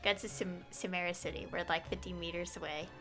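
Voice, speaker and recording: A woman's voice: on the low side, fairly even intonation, a little fast, pushed and bright. A woman streamer casually chatting and giggling through gameplay, with hesitant fillers. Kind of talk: casual gameplay commentary and reading dialogue. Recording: streamed with game music faintly behind.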